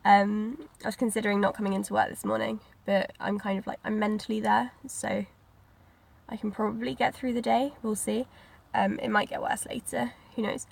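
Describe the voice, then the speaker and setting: A woman's voice: mid-range voice, little pitch movement, moderate pace, gravelly. A woman with a cold, sounding bunged up and sniffly, chatting casually in a rambling reading vlog. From Britain. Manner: rambling, many likes and ums. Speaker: book vlogger.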